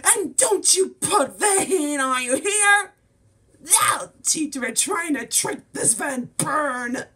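A woman's voice: high-pitched, expressive, slow, pushed and bright. A woman performing home-made character lines in an exaggerated, theatrical way, recorded on a distant microphone. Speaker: amateur video creator voicing toy characters. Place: indoor room at a desk.

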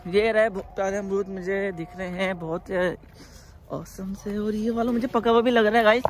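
A man's voice: high voice, steady tone, normal speed. A young man vlogging outdoors in an orchard, casual and enthusiastic, mixing in English words. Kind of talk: casual travel vlog.

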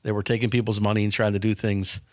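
A businessman in his fifties tells blunt stories from his career in a dark studio. His voice sits low and sounds dry and close to the mic. He talks candidly and often restarts phrases by repeating a word. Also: little pitch movement, quick pace, no room sound. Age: 50s. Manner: frank, anecdotal, repeats words when starting. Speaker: business founder, guest. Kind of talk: candid interview storytelling. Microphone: studio boom mic.

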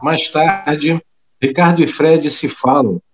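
A man's voice: on the high side, takes it slowly, husky, muffled recording. A man narrating an audio drama from a script, describing the action.

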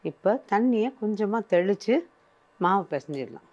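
A woman's voice: medium pitch, expressive, moderate pace, darkish tone. Older woman, cooking show presenter, patient and practical, studio kitchen, lapel mic, slightly dull sound. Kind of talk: step-by-step cooking demonstration.

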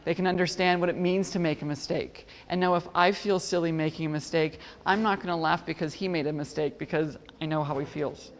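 A woman giving an interview about teaching children, speaking in a halting, thoughtful way. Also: sits low, fairly even intonation, big-room sound, slight background noise.

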